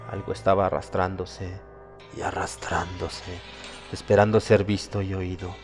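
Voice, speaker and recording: Deep-voiced man narrating horror in cavernous reverb. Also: a little mellow. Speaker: audiobook narrator. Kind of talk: dramatic horror audiobook narration in Spanish. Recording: long reverb, music behind. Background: music.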